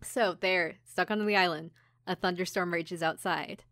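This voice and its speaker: Girl podcast host recapping stuff super casually, animated storyteller, giggly, does mock quotes, dry close audio. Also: lowish voice, moderate pace, no echo. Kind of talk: casual chat, recapping an anime episode.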